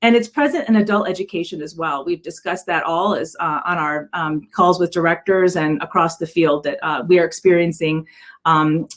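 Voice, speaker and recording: A woman hosting a panel over a video call with semi-scripted remarks, often pausing and repeating words as she explains. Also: fairly low pitch, fairly even intonation. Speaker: panel host and adult education director.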